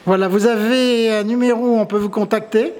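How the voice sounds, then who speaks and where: A man's voice: high voice, fairly even intonation, a little fast, darkish tone, slight background noise. A man interviewing a sculptor and guiding a visit through an exhibition, asking formal, leading questions. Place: tourist office exhibition hall. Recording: reverberant hall with faint ambience.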